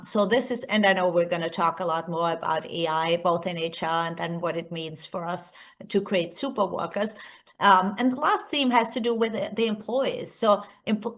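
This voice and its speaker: This is a woman with an Austrian accent and German as her first language. Her voice sits in a middle register. She speaks as an executive presenting a keynote to a professional conference, talking through prepared points in her own words. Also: medium pitch. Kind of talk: conference presentation, semi-scripted. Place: remote presentation by screen share.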